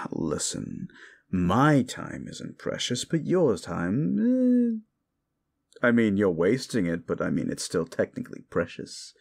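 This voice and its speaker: A man performs a hungry, exasperated male demon in an intimate audio roleplay. The voice sits on the low side and moves slowly, swinging widely between theatrical mockery and muttered asides. The manner is condescending and sardonic throughout. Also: wildly swooping pitch, gravelly, slightly rough, heavily acted. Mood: exasperated, bitter. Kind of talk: scripted audio roleplay addressed to the listener.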